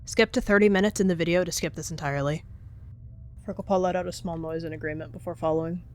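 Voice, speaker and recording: A woman's voice: fairly low pitch, fairly even intonation, fast talker, darkish tone, a little hiss or hum. A woman reading scripted story narration for an animal fantasy roleplay.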